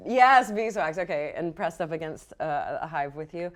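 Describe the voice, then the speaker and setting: A woman's voice: low voice, lively intonation, moderate pace. A woman game master narrating and explaining rules off the cuff in an encouraging way. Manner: encouraging, affirming.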